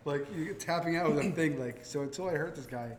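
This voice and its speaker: Fast-talking man hosting a casual chat. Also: on the high side, slight background noise. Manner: fast and animated, acts out quotes from his own stories. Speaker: podcast host. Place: brewery taproom.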